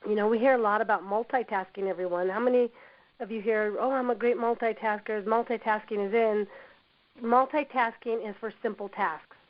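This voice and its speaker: A woman with a hoarse voice from a cold, presenting a workplace training webinar in an encouraging manner, often saying "you know". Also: mid-range voice, fairly even intonation, a little fast. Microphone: computer mic on a webinar call. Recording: dull lo-fi web audio.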